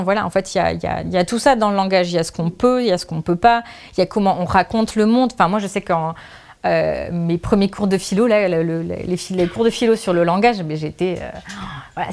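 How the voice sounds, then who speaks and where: A woman's voice: medium pitch, a little mellow. A woman author answering interview questions, thinking aloud with many restarts, fillers and trailing phrases. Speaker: author, guest. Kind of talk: casual spoken interview answers.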